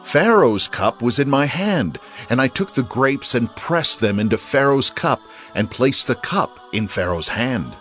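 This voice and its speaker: Expressive, troubled royal cupbearer played by a man. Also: medium pitch, a little slow, hardly any pauses, soft background music. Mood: troubled, wondering. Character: troubled royal cupbearer recounting a strange dream. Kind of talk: dramatized scripture reading.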